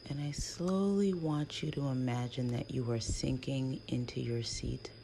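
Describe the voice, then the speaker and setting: A woman leading a guided meditation in a hushed, soothing, breathy voice with long pauses between phrases. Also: very deep voice, fairly even intonation. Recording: faint background noise.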